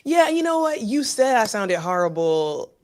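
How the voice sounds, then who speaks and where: High-voiced hoarse woman co-host. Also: very animated, a little mellow. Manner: chatty, playful, talks in quick bursts. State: slightly hoarse from a recent cold. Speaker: podcast co-host. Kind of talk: casual podcast chat.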